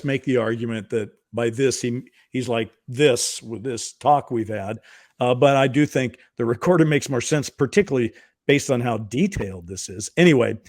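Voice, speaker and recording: A man's voice: medium pitch, darkish tone, dry recording, studio quality. A male podcast co-host talking casually and explaining at length as he thinks aloud. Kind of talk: casual conversational analysis.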